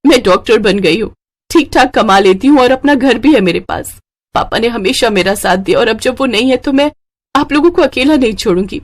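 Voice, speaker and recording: A woman voicing a kind, dutiful young doctor in a cartoon story, speaking earnestly and gently. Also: on the high side, animated, a little fast. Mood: pleading, earnest. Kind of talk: Hindi cartoon moral story acting.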